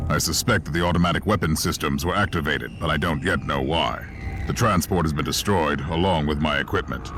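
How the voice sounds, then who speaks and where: An actor voicing a stern, analytical inquisitor in a dark space fantasy game, speaking in a formal, clipped, grave manner. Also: male voice, sits low, noisy background. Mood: grave, detached. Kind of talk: video game acting, dictated log narration.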